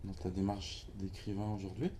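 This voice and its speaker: A man's voice: low-pitched, monotone, moderate pace, mellow, slight background noise. A man hosting a book launch at a bookshop, interviewing the author and searching for his words with many hesitations. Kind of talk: interviewing a guest at a live event. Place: bookshop event before an audience.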